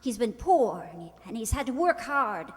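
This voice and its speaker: A woman playing a proud, sharp-tongued young society bride in an amateur radio play, theatrical and exasperated. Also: a little high, big pitch swings, normal speed. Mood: indignant. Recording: echoey hall, long reverb.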